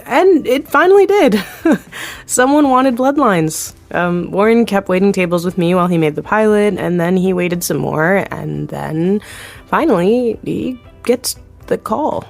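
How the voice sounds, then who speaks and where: A woman's voice: medium pitch, animated, unhurried, vocal fry, soft background music. An actress in her thirties playing a candid, chatty TV executive producer who laughs easily and talks down her own success. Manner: candid, chatty, laughs often, self-deprecating. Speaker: TV executive producer, interview guest. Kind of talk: scripted audio drama, acted interview.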